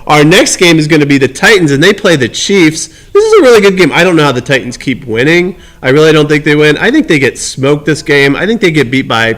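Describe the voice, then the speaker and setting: A man's voice: fairly high pitch, sing-song, fairly quick, few pauses, fairly bright tone. A man hosting a casual sports picks segment with conversational commentary. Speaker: football picks host.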